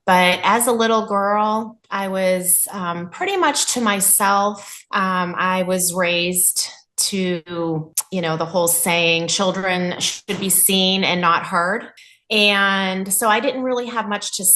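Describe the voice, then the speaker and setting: A woman from New England, a nurse and podcast guest, telling her life story in an earnest, open way with a loud voice. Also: medium pitch, steady tone, takes it slowly.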